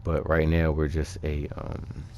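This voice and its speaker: A man giving casual, unscripted game commentary, thinking aloud in a halting way. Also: rumbling low, completely flat delivery, darkish tone, slight background noise. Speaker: gaming video creator.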